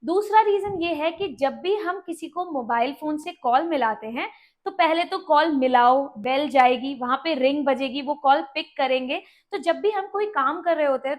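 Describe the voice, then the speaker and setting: A woman presents an explainer to her viewers. She speaks in an instructional way, posing questions and then answering them, mixing in English technical terms as she goes. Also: high voice. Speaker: video host and presenter. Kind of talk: explainer video to camera, Hindi mixed with English terms.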